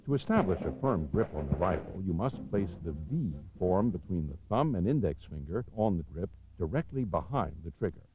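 A deep-voiced American man narrates a training film in a measured, authoritative way. His pitch moves in lively steps as he enunciates each instruction. The sound is slightly dull, like a 1990s videotape soundtrack. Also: soft background music.

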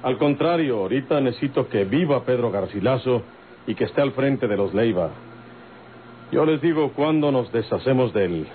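An actor playing a commanding, cautious gang leader with a Mexican accent in an old radio drama. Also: male voice, mid-range voice, lively intonation, normal speed, lightly acted. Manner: firm, authoritative. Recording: tinny, slightly noisy old radio recording.

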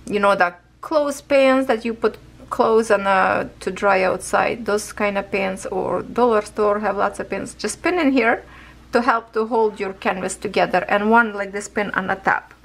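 A woman with a hoarse voice, chatting casually as a craft channel host while she stitches beads at home. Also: medium pitch, normal speed, a bit bright. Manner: rambling, chatty, loose grammar. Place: at home, seated with canvas on lap.

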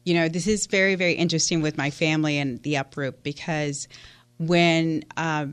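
A woman's voice: sits low, fairly even intonation, normal speed, smooth. A woman from Houston, Texas, a guest on a radio interview, telling her story in a chatty way with many fillers. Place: radio studio.

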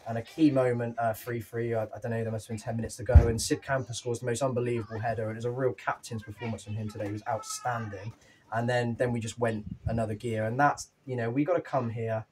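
Young male football manager, post-match, a bit winded, lively and frank; light room noise. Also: medium pitch, fast talker. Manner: animated, candid, with fillers and restarts. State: slightly out of breath. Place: small clubhouse room with painted wooden walls.

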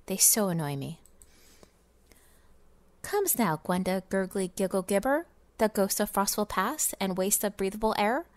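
A woman's voice: mid-range voice, expressive, measured pace, pauses often, a little mellow, no room sound, close to an everyday voice. A woman voicing a pompous, scornful miserly businessman in a dramatic storybook reading of fantasy game lore. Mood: sneering. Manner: theatrical, pompous, scornful delivery. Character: miserly, sneering, self-satisfied businessman narrating holiday memoir.

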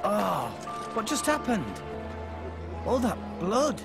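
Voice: mid-range voice, very animated, slow pace, vocal fry, full character voice. An actor playing a curious, naive teenage boy adventurer in a video game, earnest and questioning. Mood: shocked. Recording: music behind the voice.

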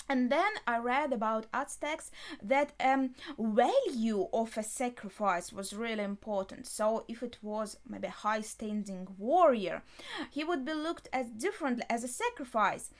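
A young adult woman giving casual, unscripted reaction commentary, thinking aloud with hesitant pauses mid-thought. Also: on the high side. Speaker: reaction video host. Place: small furnished bedroom, indoors.

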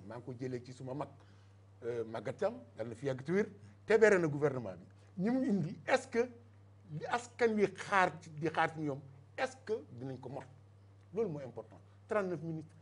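A man's voice: on the high side, breathy edge. An older Senegalese man speaking emphatically as a guest in a televised political debate, on a lapel mic in a studio. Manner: emphatic, mixing Wolof and French. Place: TV studio set.

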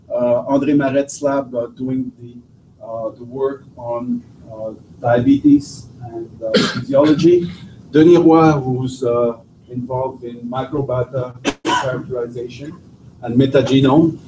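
A middle-aged man from Quebec with a French accent, giving a halting academic seminar talk full of restarts and fillers. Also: medium pitch, background noise. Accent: Québécois French. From Quebec, Canada. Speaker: guest lecturer, professor. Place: lecture room. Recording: distant, roomy lecture hall audio.

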